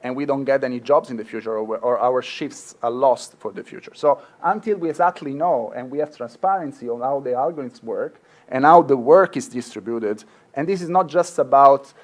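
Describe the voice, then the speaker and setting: A man's voice: mid-range voice, moderate pace. A middle-aged man with a marked Italian accent, a law professor speaking earnestly at a conference, with frequent fillers and restarts. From Italy. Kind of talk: conference talk.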